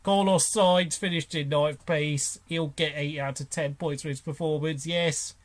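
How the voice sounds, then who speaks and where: A man with a fairly high voice hosts a motor racing review, rating drivers as he goes. He speaks off the cuff and gives blunt opinions, often pausing to find his words. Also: fairly even intonation. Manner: opinionated, blunt, hesitant mid-sentence. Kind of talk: unscripted race commentary and driver ratings.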